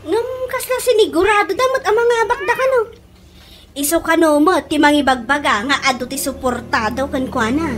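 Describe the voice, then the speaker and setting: A woman's voice: sits high, fairly quick, fairly bright tone. An actress playing a teasing, gleefully gloating woman in an Ilocano radio comedy drama. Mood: skeptical. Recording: noisy radio drama recording.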